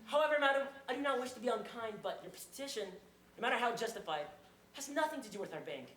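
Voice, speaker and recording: A stage performer playing an exasperated, stiffly formal male bank manager who often shouts. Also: medium pitch, animated, fast talker, slight background noise, lightly acted. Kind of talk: stage comedy acting. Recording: echoey room.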